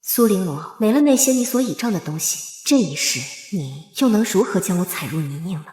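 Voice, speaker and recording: A woman voicing a coolly calculating, vengeful young noblewoman in a period comic drama, composed, measured and formal. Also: mid-range voice, lively intonation, a little mellow, reverberant, long reverb tail, close to an everyday voice.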